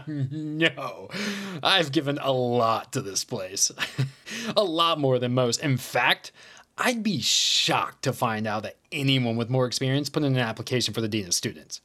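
An actor playing a chummy, self-important high school teacher, an American man with a casual, hesitant way of talking, in a naturalistic audio drama. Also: lively intonation, unhurried, pushed and bright. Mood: defensive, smug. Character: chummy, vain male high school teacher, former homecoming king.

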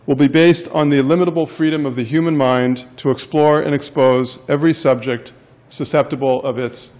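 A male professor giving a lecture in a classroom, loud and wordy by his own account. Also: on the high side, fairly even intonation, fairly quick. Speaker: professor and center director. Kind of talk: university lecture. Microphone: handheld mic.

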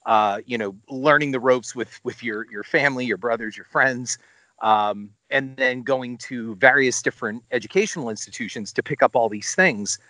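A man interviewing a guest, asking long questions in a halting, thoughtful way and rephrasing as he goes. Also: medium pitch, sharp tone, no room sound, rough recording.

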